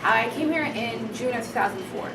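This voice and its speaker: A young woman from Haiti talks casually as an interviewee in a mid-pitched voice. The recording is echoey and very noisy, as if the mic is some way off. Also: moderate pace, distant mic.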